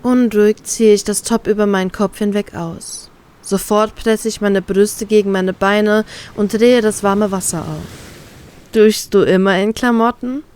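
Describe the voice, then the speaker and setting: A woman reading her own first-person romance fan fiction with calm, steady narration. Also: medium pitch, measured pace, smooth.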